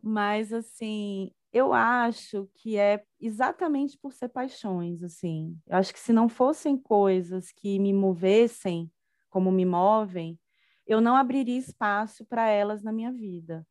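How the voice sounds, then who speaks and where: A woman's voice: medium pitch, a little slow, darkish tone, no room sound, clean studio sound. A middle-aged woman from Bahia with a Brazilian accent, chatting casually as an interview guest. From Salvador, Bahia, Brazil. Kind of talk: casual chat.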